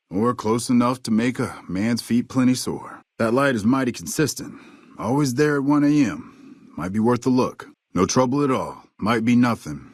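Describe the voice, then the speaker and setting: A husky, drawling veteran sniper. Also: male voice, lowish voice, dry recording, clean studio sound. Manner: folksy, unhurried, understated. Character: weathered veteran army sniper, courteous, laconic old ex-scout. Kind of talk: video game character dialogue.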